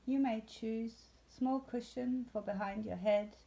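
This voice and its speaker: Female meditation guide, very slow and soothing, soft-toned and mellow, leaving long pauses. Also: fairly high pitch, steady tone, creaky, lo-fi sound. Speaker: yoga nidra instructor.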